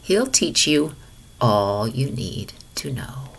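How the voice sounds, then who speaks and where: A woman voicing a calm, supportive father in a children's storybook. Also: extremely low pitch, slow, creaky, a little hiss or hum, voice pushed down, heavily acted. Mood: reassuring. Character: steady, supportive father of a young boy.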